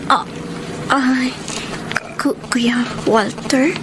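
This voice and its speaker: Shy high-voiced young Filipina. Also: female voice, steady tone, drawn-out words, background noise. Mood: shy, flustered. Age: young adult. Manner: hesitant, deferential, stammering. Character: shy, modest, earnest young woman hoping to finish college. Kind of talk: Filipino radio drama acting.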